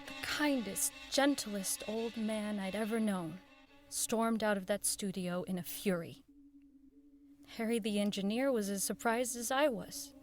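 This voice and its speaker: A woman's voice: medium pitch, natural voice. An actress playing an earnest, persistent woman who runs a radio show, in audio drama dialogue and first-person narration. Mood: reflective narrating.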